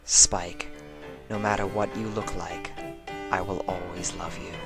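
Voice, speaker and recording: A man voicing an elegant, devoted female unicorn in a storybook reading, with breathy, emotional delivery. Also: sits low, level tone, a little slow, music in the background. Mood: soft, loving.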